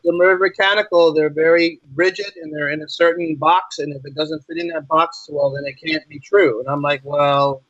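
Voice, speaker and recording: A man's voice: on the high side, steady tone, moderate pace, hardly any pauses, a bit bright, no room sound. Male American interview guest, emphatic and conversational, slightly dull audio with very little room sound. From United States. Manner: emphatic, repeats phrases for stress, uses rhetorical questions. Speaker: podcast guest, teacher.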